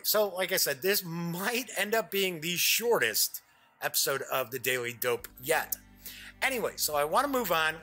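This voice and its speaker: Casual show host, male, middle-aged: slightly high, bright voice, chatty, joking, digressive unboxing patter. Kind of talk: casual live unboxing chat.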